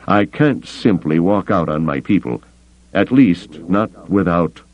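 A man's voice: low-pitched, lively intonation, a little slow, dull audio. A man voicing a hard-nosed male smuggler captain in an audiobook, firm and sardonic. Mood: firm refusal.

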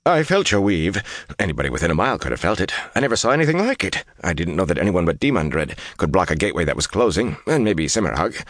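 A man's voice: a bass voice, big pitch swings, moderate pace, creaky, no room sound, clean studio sound, full character voice. A man voicing a frightened, slippery servant in an audiobook fantasy tale, obsequious and pleading, prone to babbling and stammering.

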